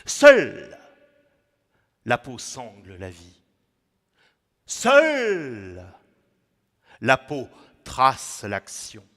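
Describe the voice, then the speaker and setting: Male poet, declamatory and theatrical, bright forceful tone, swinging hushed to loud, in a reverberant gallery. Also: mid-range voice, huge pitch swings, drawn-out words, pauses often. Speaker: poet performing his own text. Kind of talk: theatrical poetry recital. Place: large echoing museum gallery, indoors. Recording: reverberant hall echo.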